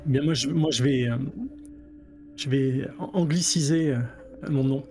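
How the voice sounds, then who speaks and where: A man's voice: medium pitch, measured pace, noisy background. A man playing a tabletop role-playing game, chatting casually and restarting his sentences as he explains his choices. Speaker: player.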